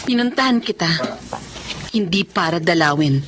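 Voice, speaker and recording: A woman's voice: on the low side, animated, normal speed, fairly bright tone, a little hiss or hum. An actress playing an indignant, unforgiving young woman in a Filipino radio drama, stern and accusing, with a Filipino accent. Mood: angry, accusing.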